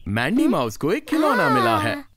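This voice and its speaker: A woman's voice: lowish voice, wildly swooping pitch, moderate pace, heavy background noise. A woman narrating a cartoon for kids in a sing-song storytelling manner. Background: loud cartoon music.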